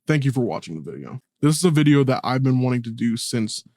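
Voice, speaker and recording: A man's voice: medium pitch, sing-song, fast talker, a little mellow, no room sound, studio quality. A man speaking earnestly and colloquially in a personal video essay, as the creator narrating it.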